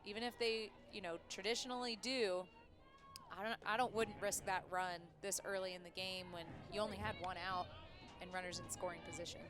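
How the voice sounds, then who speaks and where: Female softball color analyst. Conversational and explanatory, mid-range voice, outdoor ballpark with music behind. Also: medium pitch, fairly even intonation, fairly quick. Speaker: color commentator. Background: music, ballpark crowd.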